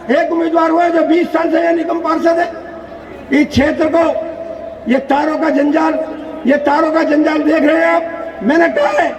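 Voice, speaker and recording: A man delivers a political rally speech in a very high-pitched, declamatory voice. He repeats phrases and fires rhetorical questions at the audience. The sound is distant and heavily reverberant, with a crowd around. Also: slight background noise. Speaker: politician, election candidate giving a campaign speech. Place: large public political gathering.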